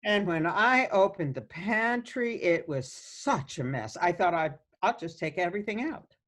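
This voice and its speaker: An elderly grandmother, played by an actress in a radio play, sweet and meddling. She speaks slowly in a lowish voice with very lively swings of pitch, coaxing and cheerfully oblivious. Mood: breezily meddling. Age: older.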